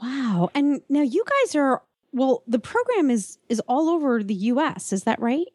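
A woman's voice: sits high, big pitch swings, normal speed, darkish tone, dry recording, clean studio sound. A woman co-hosting, chatting casually and reacting to guests. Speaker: co-host. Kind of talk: casual chat.

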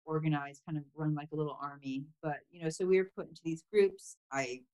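A woman with a notably low voice talks through her past as a podcast guest. She grew up in Thailand, and her speech is reflective and soft, full of "you know" and small laughs. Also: fairly quick, smooth, rough recording. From grew up mostly in Thailand. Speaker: memoir author, podcast guest. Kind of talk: casual interview chat.

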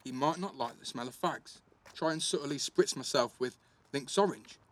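A man's voice: mid-range voice, normal speed, lightly acted. A young man playing a defensive, sweary teenage schoolboy in a naturalistic audio drama, with an East Midlands English accent and a blunt, slangy delivery. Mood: aggrieved venting. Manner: blunt, slangy, full of tag questions.

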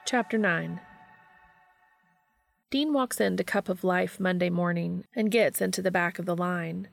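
A woman's voice: lowish voice, measured pace, vocal fry, no echo, faint music behind. A woman reading fiction aloud in a narrated audiobook style, moving between narration and character dialogue. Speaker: fan fiction reader.

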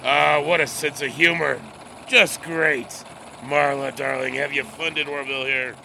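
A man's voice: fairly high pitch, steady tone, a little slow, vocal fry, sharp tone, slight background noise, full character voice. A man playing a bustling, bossy 1920s newspaper editor in an audio drama, talking in stammering bursts.

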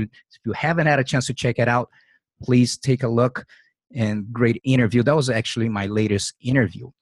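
Male podcast host, mid-pitched voice, friendly questions, dry studio sound. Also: no room sound. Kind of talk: interview questions and intro.

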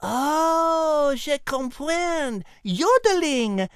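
A woman's voice: high-pitched, lively intonation, extremely slow, no room sound, clean studio sound, full character voice. A woman voicing a refined, learned female cat with a French accent and a prim, precise manner in a children's audio drama. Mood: prim, patient. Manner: prim, precise, patient, schoolteacherly.

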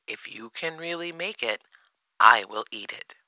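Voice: medium pitch, expressive, a little slow, bright, forceful tone, no echo, low-quality recording. A narrator voicing a skeptical, bossy but kindly male cat in a children's storybook. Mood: doubtful. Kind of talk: children's storybook character reading.

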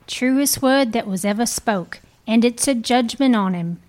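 A woman's voice: fairly high pitch, measured pace, darkish tone, natural voice. A woman playing a brazen, defiant Victorian thief in a live dramatic reading. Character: brazen, defiant Victorian thieving woman selling a dead man's goods.

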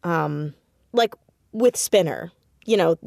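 A woman talking casually as an interview guest about her writing, with animated, self-deprecating storytelling and emphatic stresses on words. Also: on the low side, takes it slowly, gravelly, no room sound, clean studio sound. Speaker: podcast guest, audio drama writer and creator.

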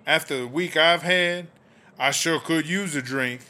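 A man's voice: a little high, unhurried, bright, forceful tone. A man playing a swaggering, sassy female American inmate in a comedy audio drama, drawling and boastful. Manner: drawling, boastful, third-person self-references.

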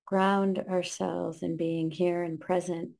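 A woman guides a meditation at a very slow pace. Her voice is soft-edged and dark, with very little movement in pitch. She gives calm, gentle instructions in short phrases with space between them. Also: creaky.

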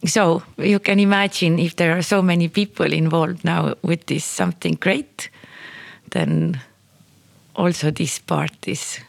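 Woman, unhurried and gravelly, hesitant and reflective in casual conversation, close dry sound. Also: fairly low pitch, fairly even intonation, dry recording. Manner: hesitant, thoughtful, searching for words. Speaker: performance maker, guest.

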